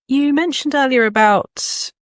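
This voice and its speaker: A woman's voice: high-pitched, measured pace, dry recording, clean studio sound. A woman hosting a conversational interview, reflective and affirming as she rephrases points. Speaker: podcast host.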